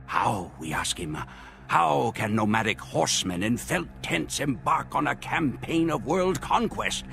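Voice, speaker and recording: A man voicing a grim Mongol warrior who narrates the campaign in measured, solemn storytelling for game cutscenes. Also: medium pitch, expressive, creaky, fairly bright tone. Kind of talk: scripted dramatic narration. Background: music.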